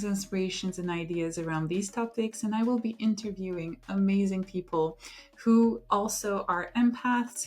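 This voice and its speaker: A woman hosting her own podcast, introducing it in an earnest, unhurried way with thoughtful pauses. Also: medium pitch, a little mellow. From Luxembourg. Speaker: podcast host. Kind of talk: reflective solo podcast intro.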